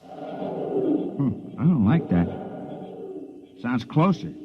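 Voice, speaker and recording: Cautious, worried man, animated pitch; lo-fi vintage radio. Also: very slow. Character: nervous, cautious male time-travel companion. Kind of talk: 1950s radio drama acting. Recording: dull lo-fi 1950s radio broadcast.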